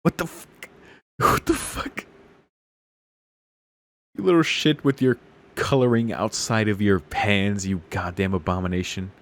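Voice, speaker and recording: A man's voice: on the low side, unhurried, long pauses, a bit bright. A man streaming a visual novel, reading it aloud and reacting casually in an irreverent, sweary way, with guitar music behind him. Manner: irreverent, foul-mouthed. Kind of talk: casual let's-play, reading game text aloud with reactions. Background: game music with guitar.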